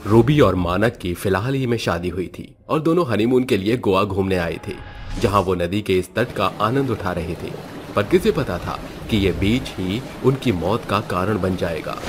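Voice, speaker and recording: A man's voice: low voice, moderate pace, music in the background. A man narrating a scripted horror cartoon story.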